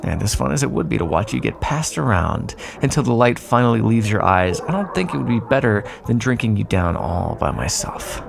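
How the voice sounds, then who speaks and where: Man acting a sly, gravelly male vampire. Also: fairly low pitch, sing-song, a little fast, few pauses, noisy background, exaggerated voice. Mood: teasing menace. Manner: sarcastic, teasing, drawling asides. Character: sly teasing male vampire bargaining with his hunter rival. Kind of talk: ASMR roleplay, intimate scripted monologue.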